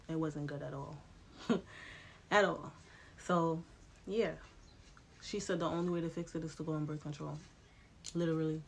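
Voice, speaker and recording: A woman's voice: deep voice, a little mellow, a little hiss or hum. A woman in her late twenties with a stuffy, congested nose, telling her own story in a candid, chatty vlog, swearing freely and checking in with the viewer. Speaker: vlogger. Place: home room with a TV playing.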